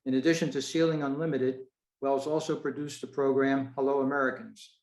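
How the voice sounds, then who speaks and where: A man's voice: mid-range voice, fairly even intonation, fairly quick, darkish tone. A man presenting a prepared talk to a club over a video call, in a roomy-sounding space.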